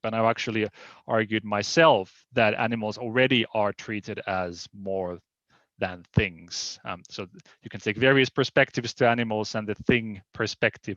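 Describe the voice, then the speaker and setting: A man giving an academic presentation as a legal scholar, explaining in a hesitant, halting way. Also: lowish voice, a bit bright, no echo, dull audio.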